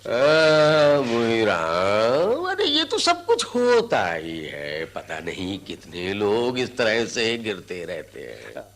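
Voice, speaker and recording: An actor playing a greedy older boss of elephant catchers in a radio drama, coaxing and patronising with drawn-out exclamations. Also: male voice, fairly low pitch, wildly swooping pitch, fairly bright tone, exaggerated voice. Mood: brisk, scheming. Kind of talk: Hindi radio drama acting.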